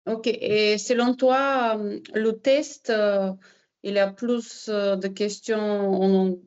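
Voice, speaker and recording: Slow, halting woman from Bulgaria. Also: medium pitch, fairly even intonation, a little mellow, clean tone, highs cut off. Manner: searching for words, halting. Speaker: participant asking questions. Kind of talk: questions in a video call Q&A.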